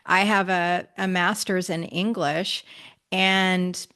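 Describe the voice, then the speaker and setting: A woman's voice: lowish voice, level tone, slow, fairly bright tone, clean tone. A woman from the United States hosting a podcast interview, speaking reflectively with frequent fillers and agreeable backchannels.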